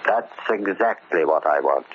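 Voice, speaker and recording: Old-time radio drama, muffled with faint noise, embittered male prisoner, middle-aged, American accent, low voice, stubborn, deliberate. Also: lively intonation. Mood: brooding, determined. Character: bitter, resolute 44-year-old convict bent on settling a debt. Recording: dull, noisy old radio recording.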